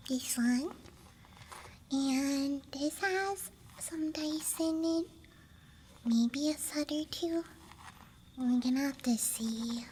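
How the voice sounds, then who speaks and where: Woman whispering softly, slow and hesitant. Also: high voice, a little hiss or hum, rough recording. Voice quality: breathy whisper. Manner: soft, hesitant, musing, self-deprecating. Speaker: ASMR creator. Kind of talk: whispered ASMR ramble. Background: soft night ambience.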